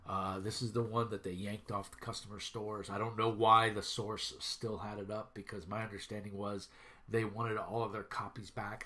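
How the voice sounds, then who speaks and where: A man in his thirties with a stuffy head cold talks through his vlog in a slightly low voice. He rambles at an easy pace, full of fillers and restarts. He sounds like a regular reviewer chatting casually to his viewers. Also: a bit bright. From Minnesota. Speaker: YouTube reviewer of tabletop games.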